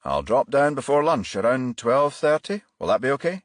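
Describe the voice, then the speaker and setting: Man acting a self-assured businessman, animated pitch. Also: moderate pace, no echo, studio quality, natural voice. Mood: cooperative. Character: self-assured, unruffled middle-aged male businessman.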